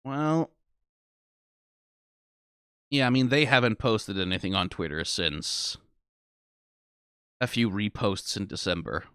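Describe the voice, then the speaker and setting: A man's voice: fairly low pitch, lively intonation, fairly bright tone, clear, resonant tone, no echo. Tired man rambling with dry, close sound. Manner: informal, freely swearing, emphatic; rambling, halting, trailing off mid-thought. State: tired after being awake for twenty hours. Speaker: host of a reaction video. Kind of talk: off-the-cuff reaction commentary.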